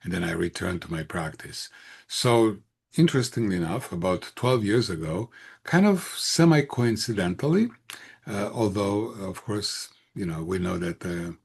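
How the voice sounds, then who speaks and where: A man in his sixties speaks with a Romanian accent in a very low, creaky voice with a husky grain. He answers as an interview guest in a conversational way, pausing often as he pieces his phrases together. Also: expressive. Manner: halting, hesitant phrasing with frequent pauses.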